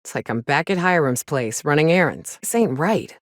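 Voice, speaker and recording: An actress voicing a wry, plain-spoken frontier huntress with a folksy, sardonic manner in a video game. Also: female voice, low voice, animated, normal speed, dry recording, studio quality. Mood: grim, resolute. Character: gruff, wry frontier woman, monster hunter and loyal companion. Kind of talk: video game companion dialogue.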